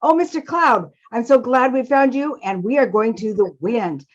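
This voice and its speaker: An earnest father mouse from a children's folk tale, played by a woman storyteller. The voice is lifted high, effusive and pleading, proud and very polite. The recording is slightly dull. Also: moderate pace, lightly acted. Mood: eager, pleading. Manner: effusive, pleading, polite address with repeated names. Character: earnest, hopeful old-fashioned father mouse, proud of his daughter.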